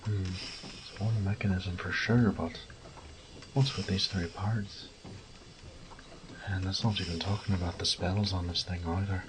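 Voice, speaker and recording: A man's voice: low voice, little pitch movement, unhurried, long pauses, rough recording. A man playing a cocky, wry thief who mutters casual, sweary self-talk in an audio roleplay. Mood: musing, wry. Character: cocky male thief, wry and foul-mouthed, talking to himself. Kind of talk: solo audio roleplay acting.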